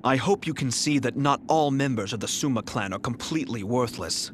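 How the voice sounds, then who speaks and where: An actor playing a cool, arrogant young male general in a video game, speaking in a calm, measured, haughty manner. His lines are clean studio game recordings. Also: mid-range voice, slight background noise, lightly acted. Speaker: game character. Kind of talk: game voice clips.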